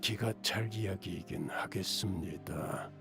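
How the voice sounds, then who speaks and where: Deep, rapid, stern game character. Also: male voice, vocal fry, music in the background. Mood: dry disapproval. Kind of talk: video game voice acting in Korean.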